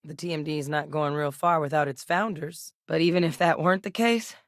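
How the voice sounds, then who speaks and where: A woman playing a seasoned ex-military scientist in a video game, steady and earnest, with a measured, serious delivery. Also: sits low, no room sound, studio quality. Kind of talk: video game character voice lines.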